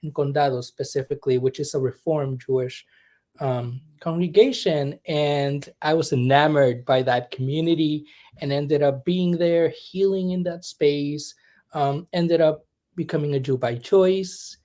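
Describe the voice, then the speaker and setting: A young man from Puerto Rico, a humanist chaplain being interviewed, who talks in a reflective, searching way with many pauses and asides. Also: fairly high pitch, warm tone. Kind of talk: conversational interview.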